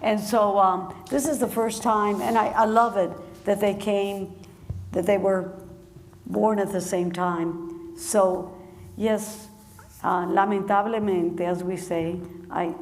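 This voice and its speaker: A woman author talks to an audience at a book event, warm and quick to laugh, often restarting her sentences. Her voice sits at a middle pitch. The sound carries a long hall echo over faint background noise. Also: steady tone. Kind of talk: conversation at a public book event.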